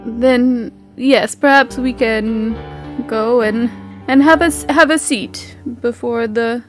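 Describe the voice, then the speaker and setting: A woman playing a genteel, politely insistent gentleman brother, speaking formally and hesitantly in an improvised tabletop roleplay. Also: fairly high pitch, slow, soft background music. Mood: polite, coaxing.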